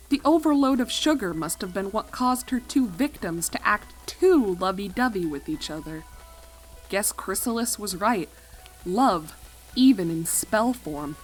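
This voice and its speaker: A woman reading fan fiction as narrator, expressive and dramatic, leaning on key words. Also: mid-range voice, low-quality recording. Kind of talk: expressive scripted story narration.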